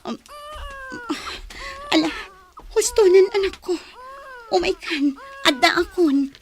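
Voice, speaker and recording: A woman's voice: very high voice, lively intonation, slow, a little hiss or hum, exaggerated voice. A woman playing a reproachful, hurt young wife and mother in an emotional audio drama. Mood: tearful, angry.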